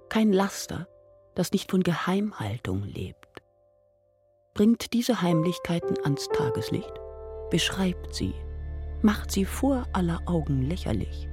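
An actress playing a devoted, mysterious admirer in a radio drama, making lofty, poetic declarations. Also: female voice, on the low side. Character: devoted, mysterious female admirer, solemn and romantic.

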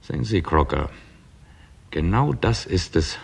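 An actor playing a sceptical police chief in a 1960s German radio crime drama, deliberate, dry and commanding. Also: male voice, deep voice, big pitch swings, gravelly, breathy edge, full character voice.

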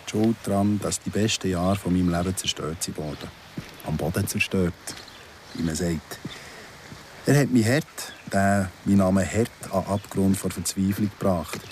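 An actor with a Swiss German accent playing a bitter ex-convict in his thirties in a radio play, halting, repetitive and sardonic. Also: sits low, darkish tone, a little hiss or hum. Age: 30s. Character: brooding ex-convict in his thirties, embittered, obsessed with justice. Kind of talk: radio play dialogue and monologue.

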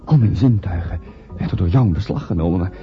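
An actor playing a haunted, lovelorn man in a radio drama, speaking in a brooding, poetic, hushed way. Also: low voice, expressive, quick pace. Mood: anguished. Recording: dull old radio recording with music.

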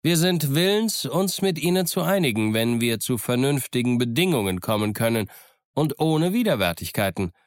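A man voicing a bold, cheerful and wily pirate leader who calls out loudly in a storybook reading. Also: mid-range voice, very animated, normal speed, no echo, studio quality, voice pushed up, close to an everyday voice. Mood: wily, formal. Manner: loud calling, ingratiating and jovial.

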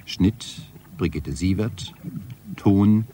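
A man making a formal, matter-of-fact radio announcement in a measured manner. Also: deep voice, warm tone, slight background noise. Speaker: radio announcer reading cast and credits.

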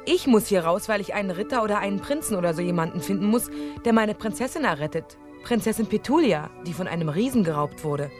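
Haughty, animated fairy-tale lady, acted by a woman. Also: mid-range voice, quick pace, close to an everyday voice. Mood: impatient, haughty. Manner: snappy, scornful. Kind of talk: German radio play acting. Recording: studio radio play with music behind.

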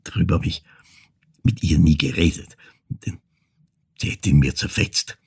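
An actor playing an older man who haltingly recalls a troubled childhood in an intimate radio-play monologue, with a husky, gravelly voice. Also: a bass voice, expressive, darkish tone, no echo, full character voice. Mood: wistful, subdued. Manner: halting, reflective, repeats words, drops to near whisper.

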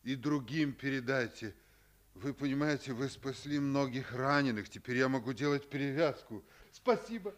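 An actor playing a kindly, busy army field doctor, polite and brisk, in a 1950s Soviet radio play. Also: male voice, medium pitch, lightly acted. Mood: grateful.

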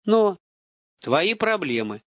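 A battered, bitter young prisoner, acted out by a male narrator in an audiobook story. He speaks curtly and coarsely, with hostile snap and a fairly high pitch. The recording is dry. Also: big pitch swings, moderate pace, fairly bright tone, no echo. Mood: urgent. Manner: curt, hostile, snapping.

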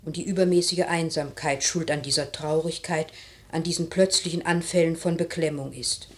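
An actress playing an outspoken, stubborn maidservant in a classical radio drama, insistent and theatrical. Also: female voice, deep voice, steady tone, moderate pace, lightly acted.

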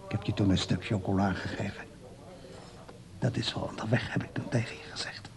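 An actor playing a lonely old man in a radio play, hesitant and husky, wistful but quick to defend himself. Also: on the low side, fairly even intonation, in a whisper. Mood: tender, wistful. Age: older, over sixty.

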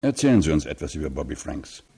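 A man's voice: very deep voice, very animated, fast talker, mellow, slightly rough, heavily acted. A man playing a brusque police detective in a radio crime drama, putting direct questions.

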